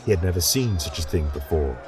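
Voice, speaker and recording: A man giving measured, dramatic narration of a fantasy story. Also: deep voice, lively intonation, crowd noise. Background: faint music.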